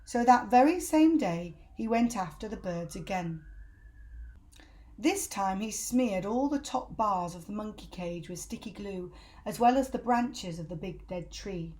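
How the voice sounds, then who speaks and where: A woman reading a children's book aloud in an animated, emphatic storytelling style. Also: medium pitch, unhurried. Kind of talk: expressive scripted narration for children.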